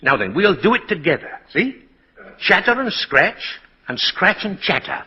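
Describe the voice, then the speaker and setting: A man's voice: sits high, big pitch swings, measured pace, pushed and bright, husky, exaggerated voice. An actor playing a kindly, whimsical old man who claims to be Santa Claus in a 1940s radio drama, chuckling, hesitant and fond of a questioning "eh?". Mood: playful, delighted. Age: older. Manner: chuckling, hesitant, tacks questioning "eh?" onto sentences. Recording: dull, muffled 1940s radio recording.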